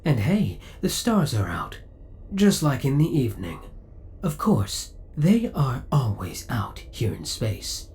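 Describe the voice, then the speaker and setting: Man voicing a devoted ship AI, lively intonation. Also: medium pitch, slow, a little hiss or hum, exaggerated voice. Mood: courteous, attentive. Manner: formal, courteous, precise servant-like delivery. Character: devoted, possessive male spaceship AI, politely obsessive. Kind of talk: ASMR audio roleplay.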